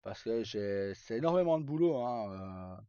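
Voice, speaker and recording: A man's voice: on the low side, expressive, unhurried, warm tone, clear, resonant tone. A man chatting casually to live viewers as a streamer, rambling and hesitant in colloquial speech with some swearing. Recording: dull lo-fi mic in echoey room.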